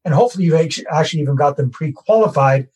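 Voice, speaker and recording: A Canadian man, a real estate investor, talking through his process in a relaxed podcast interview and repeating his key points. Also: fairly high pitch, rapid-fire, breathy edge. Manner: conversational, self-deprecating, repeats key phrases.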